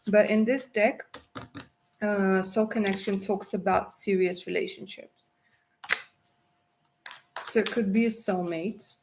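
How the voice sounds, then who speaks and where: A woman's voice: medium pitch, fairly even intonation, slow, pauses often, a little mellow, slight background noise. Chatty tarot reader woman, live stream. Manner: chatty, affectionate, calls viewers darling.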